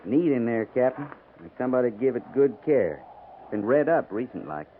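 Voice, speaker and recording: An actor playing a plainspoken cavalry sergeant in a 1950s radio western, reporting in terse, rustic, respectful speech. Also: male voice, medium pitch, big pitch swings, normal speed, natural voice. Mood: grave, puzzled. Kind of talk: 1950s radio drama acting. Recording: tinny 1950s radio recording, faint noise.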